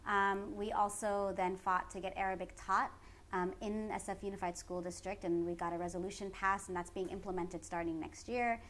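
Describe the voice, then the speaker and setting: A woman's voice: mid-range voice, steady tone, normal speed, fairly bright tone. Earnest Californian woman over background noise. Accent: Californian American. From San Francisco Bay Area, United States. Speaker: community organizer, interviewee. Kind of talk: explanatory interview answers about organizing work. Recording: clearly noisy background ambience.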